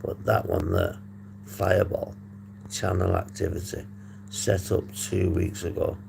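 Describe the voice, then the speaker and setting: Man, husky hoarse voice, heavy vocal fry, rambling and sweary, livestream host. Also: low-pitched, sing-song, a little slow. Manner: rambling, swears often, reads chat aloud. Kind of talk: casual livestream chat. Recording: noisy background hum.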